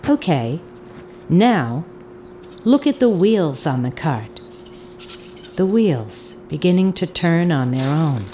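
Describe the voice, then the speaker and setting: A woman playing an eerie audio tour guide in an audio drama, giving deliberate instructions with hypnotic repetition. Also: low-pitched, sing-song, mellow, a little hiss or hum, full character voice. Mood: ominous, intent. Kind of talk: scripted audio drama narration. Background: ambient field recording and foley sounds.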